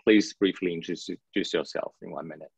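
A young man moderating an online panel, introducing guests in a measured, formal way with small fillers. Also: sits low, creaky, slightly rough, no room sound. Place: indoor home office on a video call. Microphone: video call. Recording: dull video call audio.

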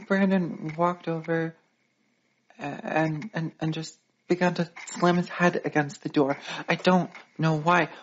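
Actress as sleep-deprived woman, horror game, hesitant pleading, lowish even pitch, muffled noisy tape. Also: natural voice. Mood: distressed, pleading. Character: shaken, sleep-deprived woman filing a complaint. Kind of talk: recorded complaint in an analog horror game. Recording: dull lo-fi tape with clear noise.

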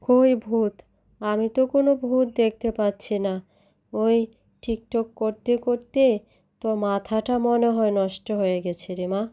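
A woman's voice: on the high side, fairly even intonation, unhurried, dark tone, close to an everyday voice. A woman voicing a middle-aged village mother in a cartoon, caring but admonishing. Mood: dismissive, soothing.

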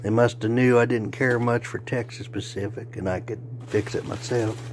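A man, hoarse and losing his voice, chatting in a folksy, joking way while vlogging on a phone held at arm's length. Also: medium pitch, fairly even intonation, moderate pace, slight background noise. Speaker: railfan vlogger. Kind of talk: casual folksy vlog. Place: outdoors by a car, then indoors at home.

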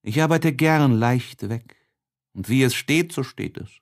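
A male narrator reads literary prose for an audiobook in a mid-pitched voice. His pace is slow and his phrasing deliberate, with expressive shaping of each sentence.

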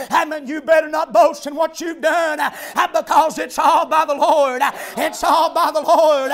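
A preacher with a very high voice delivers a revival sermon in a church. He shouts in a rhythmic, chanting cadence, punctuating nearly every phrase with "amen" and a drawn-out "ah.". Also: vocal fry.

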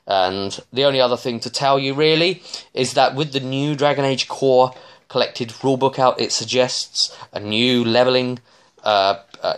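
A man in his thirties giving a casual, rambling recap as a game master, halting and often trailing off mid-thought. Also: mid-range voice, fairly bright tone, clear, resonant tone. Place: small domestic room indoors.

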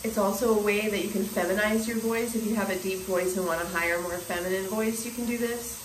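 A woman's voice: medium pitch, steady tone, a little fast. A woman in her forties speaking earnestly to a phone camera in a small bathroom, musing with restarts while her throat sounds scratchy. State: scratchy throat, clearing it often. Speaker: spiritual vlogger. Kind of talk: casual spiritual monologue to camera. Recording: roomy bathroom echo, faint hiss.

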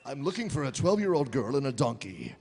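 A man's voice: mid-range voice, sing-song, brisk, a little mellow, breathy edge. A middle-aged man voicing a bombastic, dim cartoon hero in a hammy comic table read. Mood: earnest. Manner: hammy, swooping. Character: bombastic, dim cartoon superhero playing a young farm boy. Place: convention panel stage with audience. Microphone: table microphone.

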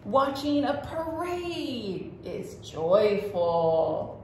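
A woman's voice: fairly high pitch, sing-song, drawn-out words, darkish tone. A woman hosting a children's storytime as a librarian, reading aloud expressively and talking simply to young children. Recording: roomy echo with faint background noise.

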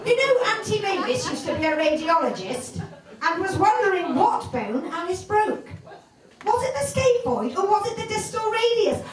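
Lady comic doing an anxious english granny, pedantic and scolding, voice climbs high, noisy echoey club. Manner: fussy, long-winded, pedantic. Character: anxious, reproachful elderly English grandmother. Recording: very echoey noisy room, distant mic.

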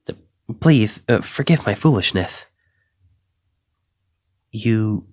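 A man's voice: medium pitch, slightly rough, dry recording, studio quality, heavily acted. A man acting a shy, flustered, self-doubting young knight in an ASMR roleplay, stammering and hesitant as he apologizes. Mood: flustered, apologetic.

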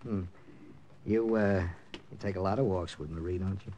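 An actor playing a resolute, hard-boiled wartime captain in an old-time radio drama, speaking and narrating in a firm, clipped, dramatic way. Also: male voice, deep voice, normal speed, warm tone, muffled recording, slight background noise, natural voice. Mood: curt, controlled.

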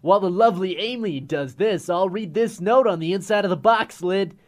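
An actor playing a breezy, enthusiastic, casual radio show host in an audio drama. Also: male voice, sits high, moderate pace, natural voice. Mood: upbeat.

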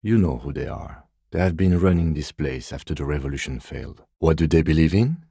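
An actor playing a reserved, principled middle-aged police detective in a video game, with a measured, dry and formal manner. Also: male voice, a bass voice, creaky, mellow, husky, no echo, full character voice. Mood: earnest, reserved.

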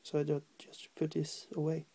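A man's voice: on the high side, completely flat delivery, mellow. A man from Norway with a Norwegian accent, hesitantly talking through a miniature painting tutorial at his desk, with many fillers. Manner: hesitant, halting, many fillers, humming while working. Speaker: hobby painter. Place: at a desk indoors.

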